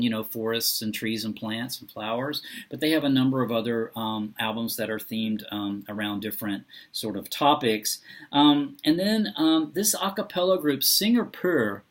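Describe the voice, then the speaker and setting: A man talking casually and unscripted as a vlogger, halting often as he searches for his words. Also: mid-range voice, a bit bright. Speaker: book and reading vlogger. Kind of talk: casual unscripted vlog talk.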